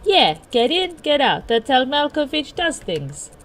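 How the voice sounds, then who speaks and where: A woman's voice: high-pitched, sing-song, measured pace, voice pushed up, exaggerated voice. A woman voicing a blunt, bombastic eccentric man with a put-on accent, in a visual novel read-aloud. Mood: brusque.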